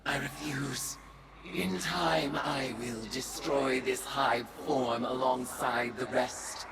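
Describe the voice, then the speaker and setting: A woman playing a brooding, vengeful witch turned dark goddess in a video game, speaking solemnly and theatrically, sometimes near a whisper. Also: extremely low pitch, vocal fry, very noisy, full character voice. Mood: defiant. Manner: solemn, deliberate, theatrical delivery. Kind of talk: dramatic video game acting. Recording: loud music underneath. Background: loud game music.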